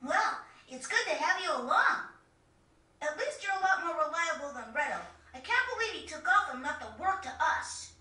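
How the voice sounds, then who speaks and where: Spirited girl hero: friendly, high and bright, slightly distant game audio. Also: big pitch swings, moderate pace, full character voice. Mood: friendly, teasing. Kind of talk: video game cutscene voice acting. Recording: echoey, distant game capture.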